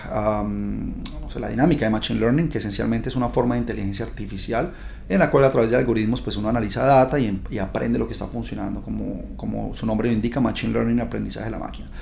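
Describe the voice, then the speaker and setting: Gravelly-voiced man hosting a podcast. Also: medium pitch, quick pace, a little mellow, a little hiss or hum. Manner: chatty and upbeat, addressing listeners directly. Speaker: podcast host. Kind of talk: casual podcast monologue.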